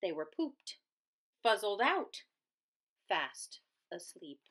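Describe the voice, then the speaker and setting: Animated woman reading a storybook aloud. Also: mid-range voice, slow pace. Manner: dramatic, emphatic. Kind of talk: expressive children's storybook narration.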